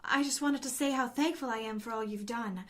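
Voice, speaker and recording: A woman's voice: on the high side, a little fast, a bit bright, natural voice. An actress playing a grateful, sweet young woman in a noir audio drama, earnest and polite. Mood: grateful, apologetic.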